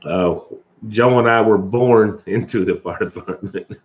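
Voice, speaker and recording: A rambling, chatty man hosts a live stream, chuckling as he talks and stopping to cough from allergies. His voice sits fairly low, and the recording sounds dull and lo-fi. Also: unhurried, a little hiss or hum. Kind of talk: casual chat with live viewers.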